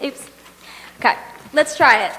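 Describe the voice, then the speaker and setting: A woman co-presenter trades scripted banter on stage. Her voice is high, and it comes with hall reverb and noticeable background noise. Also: animated, a little slow. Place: stage in a hall, live audience.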